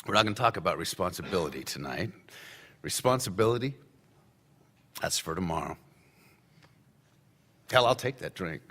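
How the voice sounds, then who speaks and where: Sarcastic party-crasher insider, swoops up and down, laughs between jabs. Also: male voice, deep voice, animated, moderate pace, long pauses, bright, forceful tone, big-room sound, heavily acted. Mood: needling. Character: brash boozy male government insider at a party. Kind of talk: radio play acting.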